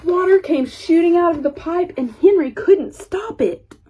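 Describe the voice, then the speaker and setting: Lady reading a kids story out loud, very up and down expressive, mellow voice, bit of background noise. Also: very high-pitched, a little slow. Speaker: storyteller reading a children's picture book. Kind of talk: expressive read-aloud story narration.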